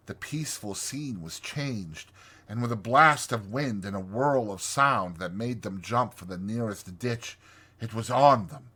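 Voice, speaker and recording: A man narrating a classic storybook in a theatrical, deliberate way, as a dramatized audiobook. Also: on the low side, bright, forceful tone, slightly rough.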